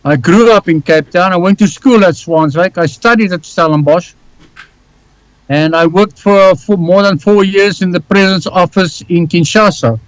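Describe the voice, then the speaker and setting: An older man with a South African accent, co-hosting over a video call in a wry, brisk way. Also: high voice, no echo, low-quality recording. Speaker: co-host.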